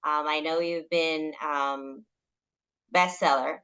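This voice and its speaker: A woman from the Republic, interviewing a guest and pausing often on "um". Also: low voice, steady tone, fairly bright tone. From Dominican Republic. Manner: hesitant, many "um" pauses. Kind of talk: interview questions.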